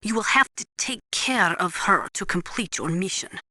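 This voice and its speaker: A blunt female military analyst in a video game. Her voice is bright and forceful at a middling pitch, recorded very dry. She gives precise, matter-of-fact instruction like a weapons expert. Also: animated, moderate pace, no echo. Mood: measured, advising. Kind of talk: video game radio briefing.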